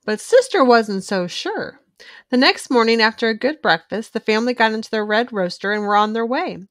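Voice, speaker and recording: Lively, higher-pitched woman reading a storybook. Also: moderate pace, no room sound, studio quality. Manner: animated, clearly paced storytelling for young children. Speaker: children's storybook reader. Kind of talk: expressive scripted read-aloud narration.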